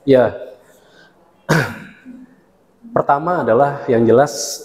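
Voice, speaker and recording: A man in his thirties, a university lecturer, talks easily and often breaks into laughter as he explains. His voice sits in a middle register and carries a clear echo with a long reverb tail, as if recorded in a large room. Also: medium pitch, slow, darkish tone. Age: 30s. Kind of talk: relaxed interview talk.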